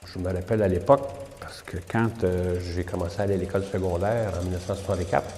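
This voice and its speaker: An older man with a Quebec French accent, recalling his childhood in a relaxed, hesitant way. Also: low voice, slight background noise. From Quebec, Canada. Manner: relaxed, hesitant, many pauses. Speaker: interviewee. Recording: echoey room with long reverb.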